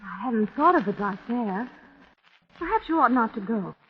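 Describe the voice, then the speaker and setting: An eager wife with a bright, high, sing-song delivery who keeps pressing for answers. The old radio sound is dull with light hiss. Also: female voice, very animated, normal speed. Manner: chirpy, pressing. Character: eager, curious young wife. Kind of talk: 1940s radio acting.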